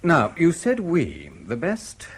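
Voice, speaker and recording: An actor in a radio drama playing a cool, sceptical intelligence officer, clipped, dry and politely probing. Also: male voice, fairly high pitch, huge pitch swings, takes it slowly, husky, exaggerated voice.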